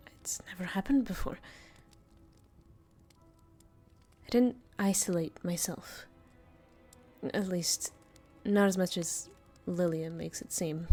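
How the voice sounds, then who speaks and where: A woman playing a centuries-old vampire master, tender and weary, confiding softly in an intimate audio roleplay. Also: medium pitch. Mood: hesitant, reflective. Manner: soft, slow, hesitant, confiding.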